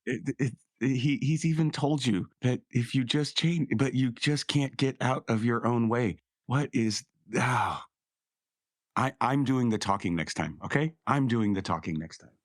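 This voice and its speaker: A man's voice: medium pitch, unhurried, dry recording, clean studio sound. A man talking casually as a tabletop game player and show announcer. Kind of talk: casual, improvised talk.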